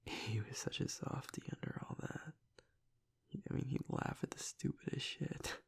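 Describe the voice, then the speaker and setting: A man playing a grieving young werewolf boyfriend, tough but vulnerable, choked up and halting as he confides in an intimate audio roleplay monologue. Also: lightly acted. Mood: tender, nostalgic. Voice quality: breathy, gravelly near-whisper. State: choked up with grief.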